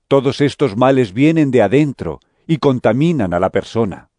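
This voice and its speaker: An actor playing a solemn, authoritative male teacher and healer in a dramatized scripture audio drama, speaking in a measured, deliberate way. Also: medium pitch, lively intonation, a little mellow, no room sound, close to an everyday voice. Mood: solemn teaching.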